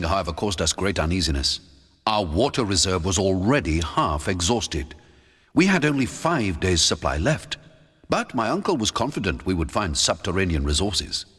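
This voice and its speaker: A man narrating a scripted first-person adventure audiobook in a dramatic, measured storytelling manner. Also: low-pitched, fairly bright tone, slightly rough. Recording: long hall-like reverb.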